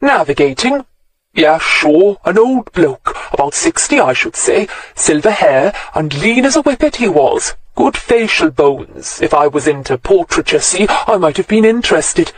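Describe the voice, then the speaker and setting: A man's voice: sits high, big pitch swings, measured pace, no echo, pitched above the performer's own voice, full character voice, low-quality recording. A man voicing a laid-back hairy hippie artist with a sing-song Welsh accent, as a reader of a storybook. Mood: easygoing.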